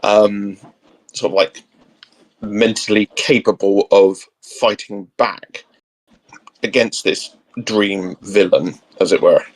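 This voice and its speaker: A man's voice: fairly low pitch, fairly even intonation, slow pace, rough recording. A man chatting casually as a guest co-host, explaining ideas off the cuff in a hesitant, meandering way.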